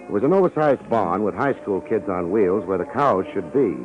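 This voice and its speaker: Casting: man as hardboiled gumshoe, low voice, laconic and wry, old-time radio crime show with muffled sound, music underneath. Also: expressive, moderate pace, natural voice. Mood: wry storytelling. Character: cool, wisecracking hardboiled male private detective narrator. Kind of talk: 1950s radio detective drama, narration and dialogue.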